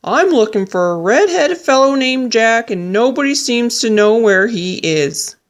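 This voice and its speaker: A woman voicing a polite, bashful male giant in a storybook, speaking slowly and earnestly. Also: fairly high pitch, animated. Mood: weary.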